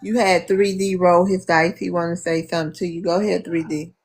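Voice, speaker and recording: A woman's voice: lowish voice, level tone, a little fast, breathy edge. A woman hosting a live panel, cutting people off and handing out turns by the clock. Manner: bossy, keeps time, calls turns. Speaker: host, moderator.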